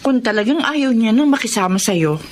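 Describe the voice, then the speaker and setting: An actress playing a cautious, sympathetic older sister, measured and reluctant, in a radio drama. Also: female voice, fairly high pitch, lively intonation. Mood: reluctant sympathy. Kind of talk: Tagalog radio drama acting.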